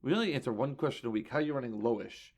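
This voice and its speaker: A man's voice: mid-range voice, lively intonation, racing, darkish tone. A man co-hosting a casual comics podcast, joking and teasing his partner. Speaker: podcast co-host. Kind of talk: casual chat.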